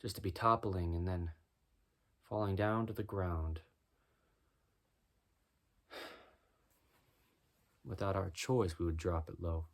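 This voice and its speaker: A man with a deep voice doing a rhyming spoken-word freestyle, musing and preaching as he goes. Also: steady tone, slow pace, long pauses. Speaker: freestyle musician.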